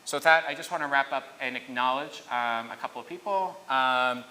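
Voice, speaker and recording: A man in his thirties presenting research at a conference, halting and full of fillers. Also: mid-range voice, pushed and bright. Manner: halting, filler-heavy, restarts mid-thought. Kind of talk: conference talk with Q&A. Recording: echoey hall, faint noise.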